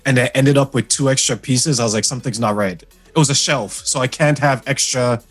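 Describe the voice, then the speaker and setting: A man hosts a podcast over a video call, his voice at a middle pitch. He talks in a relaxed, conversational way and chuckles often, with faint music in the background. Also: a little fast, husky. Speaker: podcast host. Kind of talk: casual interview chat.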